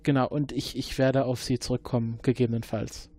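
A man's voice: medium pitch, little pitch movement, fairly quick, warm tone. A man playing an investigator in a tabletop game, hesitant with frequent fillers. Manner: hesitant, many fillers, interrogating. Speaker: player.

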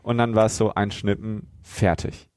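Deep-voiced man, dry recording. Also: level tone, normal speed, darkish tone, no room sound. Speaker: podcast co-host. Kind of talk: casual review discussion.

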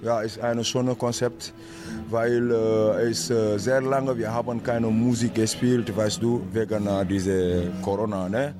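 Low-voiced Senegalese drummer, halting speech. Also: male voice, level tone, over music. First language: Wolof. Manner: halting, searching for words. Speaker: interviewed drummer. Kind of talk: casual interview.

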